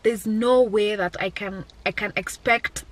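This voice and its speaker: A woman's voice: mid-range voice, fairly even intonation, a little slow, low-quality recording. Candid young woman vlogger, reflective and emphatic. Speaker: vlogger, business owner. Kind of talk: personal reflective talk to viewers.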